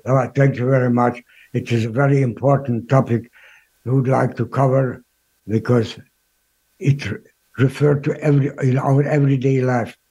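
Older Hungarian-accented man, husky and mellow. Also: mid-range voice, steady tone. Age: 80s. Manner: halting, repeats and restarts words. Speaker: guest, Holocaust survivor. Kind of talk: interview answers, recounting history.

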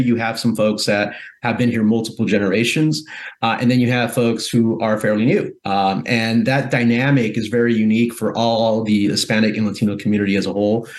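A man from Guatemala, a guest in an interview, giving thoughtful answers with frequent pauses and restarts. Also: lowish voice. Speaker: guest, corporate philanthropy executive.

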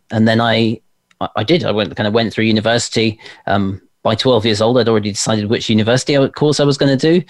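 A man's voice: on the low side, no echo. A man from London with an English Received Pronunciation accent, chatting anecdotally as an interview guest in his home office. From London, England. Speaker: interview guest, performance coach and performer. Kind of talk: conversational interview answers.

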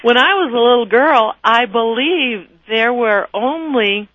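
A woman, a guest telling her story in a radio interview, lively and animated. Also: on the high side, a little slow, no room sound, highs cut off. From Texas, raised in California.

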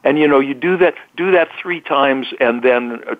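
A man with a baritone voice, calmly explaining a technique as a guest in a radio interview. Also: normal speed, slight background noise. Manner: calm, explanatory, sings short demo notes. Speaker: guest author. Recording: dull lo-fi radio sound.

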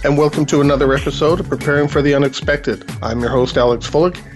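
A man's voice: on the high side, steady tone, fast talker, over music. A man hosting a talk show, chatting casually with many fillers while he is losing his voice. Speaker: podcast host. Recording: dull lo-fi audio.

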